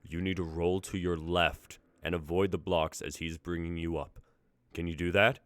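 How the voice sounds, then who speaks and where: A man in his twenties reading lines in a calm, instructive way. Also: low-pitched, normal speed, dry recording. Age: 20s. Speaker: actor in a script reading. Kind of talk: dramatic script reading.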